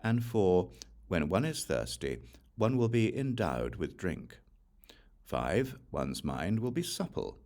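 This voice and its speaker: Guy with a deep voice doing an audiobook of scripture, really slow and measured, pauses between each point. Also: big pitch swings, a little mellow. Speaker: audiobook reader.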